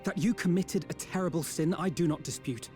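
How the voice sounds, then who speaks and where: A man playing an earnest, stern adventurer in a fantasy game, speaking in formal, measured phrases. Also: fairly high pitch, over music, natural voice. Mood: stern, urging. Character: earnest, stern male adventurer urging redemption.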